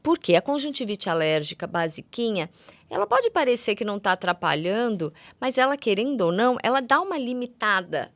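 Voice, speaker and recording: A woman's voice: fairly high pitch, sing-song, a little fast. A woman with a Brazilian accent speaking as a guest eye doctor, explaining things conversationally and didactically, repeating words for emphasis and using affectionate diminutives. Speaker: guest ophthalmologist. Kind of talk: conversational medical explanation for lay audience.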